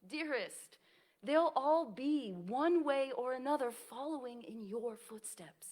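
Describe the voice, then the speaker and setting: A woman's voice: high voice, lively intonation, slow, darkish tone, exaggerated voice. A woman in a staged play reading, playing an aging nursing professor who tenderly and earnestly reassures her friend. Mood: warm, encouraging.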